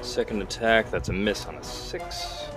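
A man's voice: on the low side, lively intonation, unhurried, over loud music. A man playing a tabletop game, calling out his dice rolls and attacks. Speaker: player. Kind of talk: casual tabletop play.

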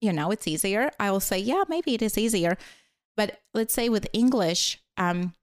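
A woman's voice: mid-range voice, animated, moderate pace, no room sound, clean studio sound. A woman from Latvia with a Latvian accent, speaking English as a later language and chatting as a guest, rambling with many fillers. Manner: rambling with frequent fillers and apologetic laughs. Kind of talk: casual conversational storytelling.

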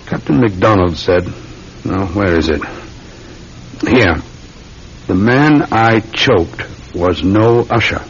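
Low-voiced weary police sergeant, old radio. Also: male voice, expressive, slow pace, long pauses, heavily acted. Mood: grave, reporting. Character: weary, methodical London police sergeant, a patient uncle. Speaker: actor in a radio play. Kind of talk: classic radio drama acting. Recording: dull, rumbling, noisy old radio recording.

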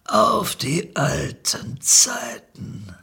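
A woman's voice: on the low side, sing-song, very slow, gravelly, sharp tone, husky, voice pushed up, heavily acted. A woman voicing a shady, worn-out male drug dealer in a dramatic story reading, with a sly, half-whispered drawl. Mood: sly, familiar. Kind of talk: dramatic fan fiction reading.

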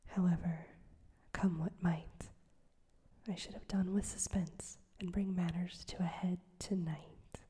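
A woman reading a story aloud in a slow, hushed, even whisper. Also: fairly low pitch, a little hiss or hum. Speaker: narrator.

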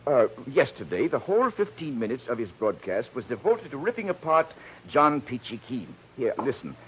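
Excitable, swooping showbiz producer, acted by a man. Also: medium pitch, moderate pace, close to an everyday voice. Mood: worried, explaining. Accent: American. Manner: excitable, blustering, emphatic. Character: agitated, fast-talking male radio show producer. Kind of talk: 1940s radio drama acting. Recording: muffled old radio recording, faint noise.

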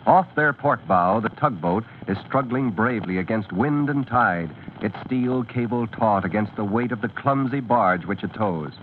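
A man's voice: on the low side, animated, normal speed, hardly any pauses. A man narrating a scripted radio serial as its announcer, dramatic and declamatory in an old-time style. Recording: tinny scratchy vintage radio recording.

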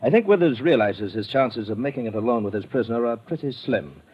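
An actor playing a brisk British colonial police lieutenant with a stiff upper lip in a radio drama. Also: male voice, mid-range voice, expressive, a little hiss or hum, lightly acted. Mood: brisk briefing. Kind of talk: old-time radio drama acting. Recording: dull old radio recording.

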